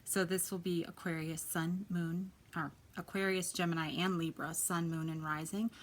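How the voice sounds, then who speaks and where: A woman in her thirties with a quiet, soft voice, chatting casually as she gives a tarot reading. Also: on the low side, level tone, normal speed. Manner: chatty, lots of ums and likes. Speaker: tarot reader.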